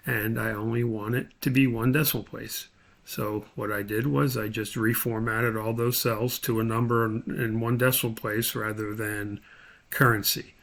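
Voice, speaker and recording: Creaky-voiced man walking through a tutorial. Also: mid-range voice, fairly bright tone. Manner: thinks aloud step by step while demonstrating. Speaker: instructor. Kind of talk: informal spreadsheet screen tutorial.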